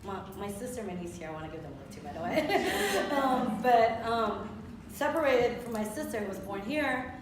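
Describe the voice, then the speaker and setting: A woman's voice: medium pitch, expressive, moderate pace, noisy background. A woman from the Philippines, a muralist on a panel, speaking earnestly and reflectively with many fillers. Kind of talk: panel discussion. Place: theater with audience. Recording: echoey theater from far off.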